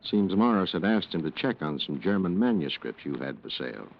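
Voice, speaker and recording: An actor playing a dry, probing police lieutenant in 1940s radio noir style, deliberate and understated. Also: male voice, low-pitched, sing-song, vocal fry, heavily acted. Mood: coolly probing. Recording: dull vintage radio recording.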